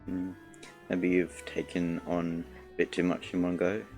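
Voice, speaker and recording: A man streaming a game, giving casual, low-key commentary and muttered replies to the dialogue. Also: flat delivery, measured pace, a little mellow. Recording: game music behind the voice.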